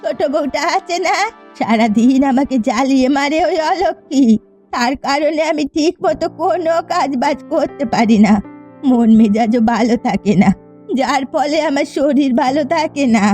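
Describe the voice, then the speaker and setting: Scheming elderly village matriarch, female actor, high-pitched, scornful and grumbling, cartoon style, faint music. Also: normal speed, a little mellow, natural voice. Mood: complaining. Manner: complaining, scornful. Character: bitter, scheming old village mother-in-law. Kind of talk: Bengali cartoon fairy-tale acting.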